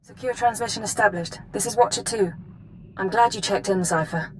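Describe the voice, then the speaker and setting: An actress as a cool female intelligence officer in a sci-fi game. Her medium-pitched briefing is precise and formal. Clear ambient noise sits behind her. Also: normal speed, darkish tone, close to an everyday voice, poor audio. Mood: businesslike. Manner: precise, formal, matter-of-fact. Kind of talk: video game voice acting, mission briefing.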